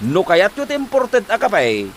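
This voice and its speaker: An actor playing a scheming husband who coaxes his wife to work abroad, speaking with an Ilocano accent in a lively, pushy way. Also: high-pitched, moderate pace, slight background noise, full character voice. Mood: boasting. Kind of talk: comedy drama acting.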